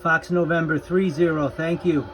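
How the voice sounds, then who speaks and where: A man's voice: a little high, fairly even intonation, normal speed, very noisy. A man who makes amateur radio videos, speaking casually as he narrates and operates.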